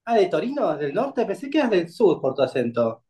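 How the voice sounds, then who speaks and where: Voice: mid-range voice, sing-song, fairly quick, dark tone. A host opening an episode and asking a guest casual, curious questions. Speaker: podcast host.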